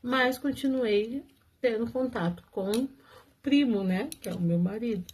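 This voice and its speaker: A woman's voice: on the high side, unhurried, darkish tone, a little hiss or hum. A woman telling viewers her own love story in a casual, chatty way, often laughing and talking straight to them.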